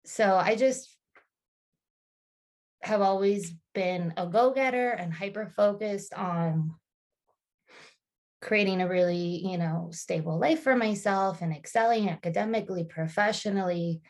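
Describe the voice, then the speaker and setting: A woman's voice: medium pitch, measured pace. A woman in her thirties, a podcast guest, speaking thoughtfully in casual conversation with frequent restarts and fillers.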